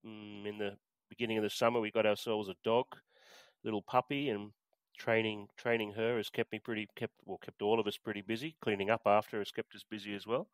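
A middle-aged man with an Australian accent talks casually in a podcast interview. His lowish voice is smooth and resonant, recorded dry and close with no room sound. He hesitates often, filling gaps with ums and restarting phrases. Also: flat delivery, fairly quick, no room sound. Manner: hesitant, many fillers and restarts. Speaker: podcast guest.

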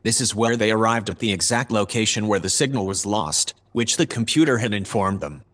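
A man playing a calm, formal artificial intelligence, reporting in a measured, dispassionate way in a sci-fi audio drama. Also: fairly low pitch. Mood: detached.

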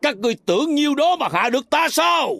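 An actor voicing a boastful, sneering pirate villain in a cartoon, with a creaky voice and taunting manner, under music. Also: high-pitched, very animated, fast talker, a bit bright, a little hiss or hum, heavily acted. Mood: mocking, defiant. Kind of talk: animated cartoon villain acting.

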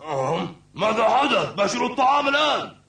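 A man's voice: high-pitched, big pitch swings, fast talker, vocal fry, bright, forceful tone, reverberant, distant mic, soft background music, full character voice. A man voicing a jolly, teasing old male kung fu master in a cartoon dub. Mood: jovial. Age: older. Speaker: kung fu teacher.

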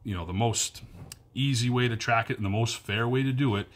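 A man's voice: mid-range voice, a little fast. A man in his thirties giving an earnest direct-to-camera update from a wood-paneled room, filmed on a phone held close, repeating his key points with lots of fillers. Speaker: powersports dealer staff. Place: wood-paneled cabin bedroom indoors. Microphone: phone camera at arm's length.

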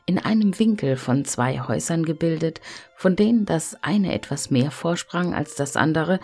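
A woman storyteller reading a fairy tale in an expressive, gentle and hushed manner. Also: low-pitched, vocal fry, breathy edge.

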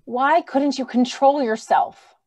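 A woman's voice: high-pitched, takes it slowly, darkish tone. A woman in her thirties playing an earnest, anxious idealistic wife in old-time radio drama style. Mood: earnest pleading.

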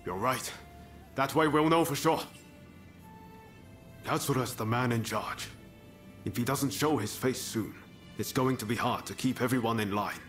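A man plays a bold samurai rebel, decisive and commanding but friendly with allies. His mid-pitched voice carries dramatic video game cutscene lines, with clear music playing behind him. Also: unhurried, long pauses, fairly bright tone, lightly acted. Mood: businesslike. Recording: game dialogue over clear background music.

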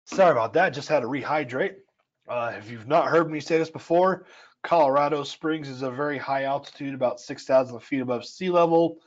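A man leads a screen-share software tutorial in a relaxed, explanatory way, with plenty of "uh"s and "okay?"s along the way. His voice is dry and a touch scratchy, and it sits at an ordinary middle pitch. The sound is a little dull, like webinar audio. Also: a little fast. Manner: explanatory, conversational, with frequent fillers. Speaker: software trainer. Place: home or office at altitude.

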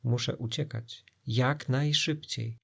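A puzzled, sneaky stowaway in a storybook adventure, read by a male narrator. He is easily flustered and wonders to himself in a gravelly voice. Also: medium pitch, fairly even intonation, takes it slowly, bright, forceful tone, heavily acted. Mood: urgent.

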